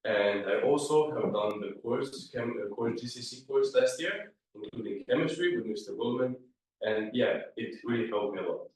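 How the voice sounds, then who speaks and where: A man's voice: mid-range voice, moderate pace, darkish tone. A seventeen-year-old male student from Slovenia introducing himself carefully. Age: teens. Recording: distant, echoey room mic.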